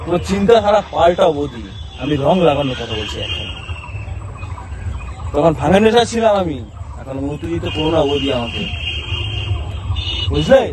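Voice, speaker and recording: A man on a prank call, slyly suggestive and given to chuckling as he teases the other person. His voice sits a little high for a man. Steady noise runs clearly behind him. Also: moderate pace, long pauses. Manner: playful, suggestive, chuckling through his lines. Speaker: prank caller. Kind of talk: teasing prank phone call full of double meanings.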